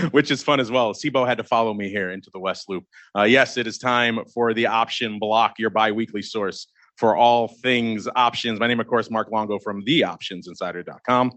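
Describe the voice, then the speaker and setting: A man's voice: lowish voice, normal speed, fairly bright tone, no room sound. A man hosting a show at a live event, joking and teasing his co-hosts as he introduces them. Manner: jokey, teasing banter. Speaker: host. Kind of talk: casual chat. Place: event space away from the studio.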